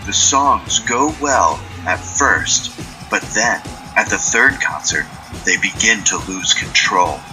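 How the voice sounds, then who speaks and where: A man's voice: fairly low pitch, lively intonation, takes it slowly, over music, rough recording. A man narrating a scripted audio drama as a storyteller.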